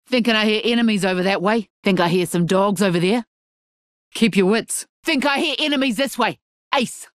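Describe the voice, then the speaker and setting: An actress playing a tough, scrappy brawler with a New Zealand accent, cocky and slangy, in a video game. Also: female voice, a little high, no room sound, clean studio sound, natural voice.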